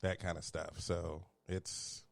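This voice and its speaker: A man from Houston hosts a loose, casual podcast, laid-back and quick to laugh at his own jokes. His voice sits on the low side. It is recorded close and dry, with no room sound. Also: flat delivery, vocal fry, no room sound. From Houston, Texas. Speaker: podcast host. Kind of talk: casual chat.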